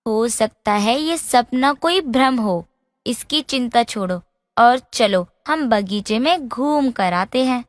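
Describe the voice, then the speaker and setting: Casting: encouraging storybook best friend, young, highish voice, solicitous, reverberant sound. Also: female voice, lively intonation, takes it slowly, clean studio sound. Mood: coaxing. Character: caring, encouraging young female companion of a princess. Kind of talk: animated fairy-tale voice acting. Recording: long hall-like reverb.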